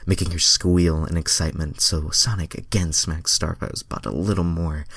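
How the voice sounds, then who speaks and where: A man's voice: low voice, fairly bright tone, no echo. A man narrating a fan-written story in an amateur, stumbling way, with casual self-deprecating asides. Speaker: reader of fan fiction.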